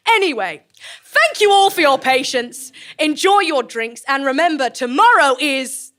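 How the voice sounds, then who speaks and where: A genial woman director of a mad science institute, addressing staff in an audio comedy. Her high voice moves in wide, swooping tones. She stays formal, polite and unflappable, taking her time over every phrase. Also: fairly bright tone, full character voice. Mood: gracious. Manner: polite, formal, measured, understated. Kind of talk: comic audio drama speech.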